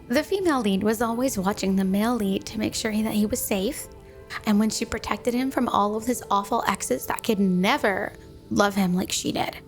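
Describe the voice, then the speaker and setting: Woman acting a high-voiced, clingy snow-woman girlfriend. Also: close to an everyday voice. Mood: dreamy, obsessive. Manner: sugary, coy, affectionate, unhurried. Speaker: ASMR roleplay performer. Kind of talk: ASMR romantic roleplay, addressed to the listener.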